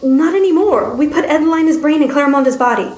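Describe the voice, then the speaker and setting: A desperate, protective father and man of science, voiced by a female reader, speaks with insistent emphasis. The audiobook voice is raised in pitch and sounds in an echoey room. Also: lively intonation, fast, darkish tone. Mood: earnest, insistent. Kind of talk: audiobook character voice.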